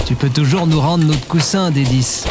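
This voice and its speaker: A man's voice: fairly high pitch, moderate pace, over music, natural voice. A man playing a laid-back, wry adventurer in an audio comedy, casual and a little hesitant. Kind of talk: audio fiction comedy acting. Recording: outdoor ambience, birds and insects.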